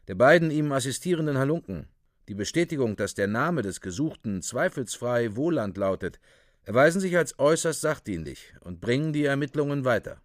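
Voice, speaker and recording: Animated male radio-play narrator. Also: medium pitch, no echo, studio quality. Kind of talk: scripted dramatic narration, report-like.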